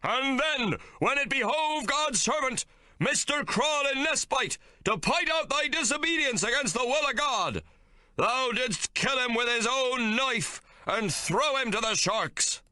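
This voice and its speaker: A man's voice: extremely high pitch, lively intonation, measured pace, bright, forceful tone, no room sound, voice pushed up, exaggerated voice. A man voicing a zealous, pompous whaling captain who preaches in archaic thee-and-thou speech. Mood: accusing.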